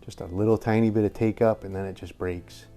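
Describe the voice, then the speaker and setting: An older man with a slightly hoarse voice, rambling through a casual airgun review in a workshop and chuckling often. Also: fairly low pitch, fairly even intonation, a little fast, loud music behind the voice. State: a little hoarse. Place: indoor workshop with tool wall. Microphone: camera at a few feet.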